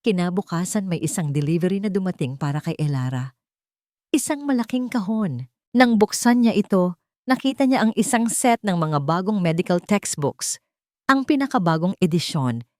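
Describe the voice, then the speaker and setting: A woman's voice: lowish voice, animated, normal speed, darkish tone, no room sound, studio quality. A woman narrating a scripted romance story as a dramatic storyteller.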